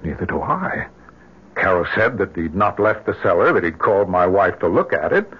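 A low-voiced middle-aged American husband and father, grieving and strained, in a vintage radio drama. His halting delivery swings widely in pitch, and the old recording is muffled. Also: big pitch swings, breathy edge, exaggerated voice. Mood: baffled, weary.

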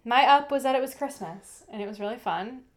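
A woman's voice: fairly high pitch, brisk. A young woman co-hosting a casual podcast, chatty and rambling, with lots of "like" and "um.". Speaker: podcast co-host. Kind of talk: casual chat.

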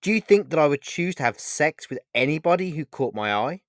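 Man voicing an intense, halting male romantic lover. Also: medium pitch, expressive, no room sound, clean studio sound, lightly acted. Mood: angry, hurt. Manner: halting, repeats phrases, formal wording. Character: handsome, charming, wounded, intense male lover. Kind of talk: dramatised romance audiobook dialogue.

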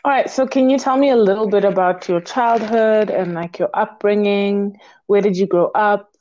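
A woman's voice: mid-range voice, moderate pace, a little mellow, lo-fi sound. A woman hosting a podcast interview, chatty, often saying "like" and laughing. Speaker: podcast host. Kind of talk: interview host, scripted intro then casual chat.

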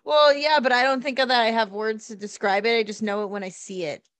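A woman's voice: a little high, steady tone, a little fast, fairly bright tone, dry recording. A woman co-hosting a podcast, teasing and answering in short, dry replies. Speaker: podcast co-host. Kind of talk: casual chat.

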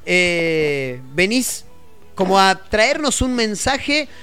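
A man's voice: sits high, big pitch swings, drawn-out words, sharp tone, clean tone. A man with an Argentine accent hosting a radio interview, asking long, rambling questions. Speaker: radio host. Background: faint background music.

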